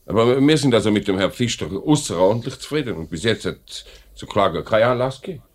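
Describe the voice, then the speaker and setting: Low-voiced, brusque Swiss factory director. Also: male voice, lively intonation, fast. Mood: defensive. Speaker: actor. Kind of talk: 1950s German-language radio drama acting.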